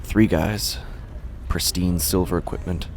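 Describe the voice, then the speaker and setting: A man playing a desperate, resentful busker who tells his own horror story in a brooding, gravelly voice that can drop to a hush. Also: low-pitched, steady tone, unhurried, slight background noise. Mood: self-pitying. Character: desperate, resentful male street busker narrating his descent. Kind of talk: first-person horror audio drama narration.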